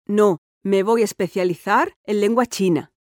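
A woman's voice: medium pitch, normal speed, darkish tone, no echo, studio quality, close to an everyday voice. A woman playing a cheerful, sporty sixteen-year-old schoolgirl in a scripted listening-test dialogue, talking in an eager, chatty and confident way. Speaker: interviewee in an exam listening dialogue.

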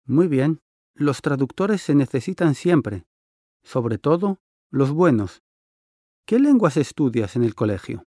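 A man's voice: medium pitch, sing-song, takes it slowly, dark tone, dry recording, studio quality. A man playing a friendly interviewer in a scripted listening-test dialogue, asking polite, curious questions. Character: friendly adult male interviewer.

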